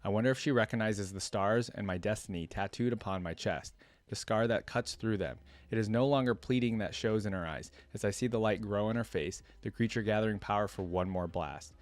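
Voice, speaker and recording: A man's voice: fairly low pitch, brisk. A man hosting a reaction video, reading a fantasy story aloud and commenting in an informal, colloquial way.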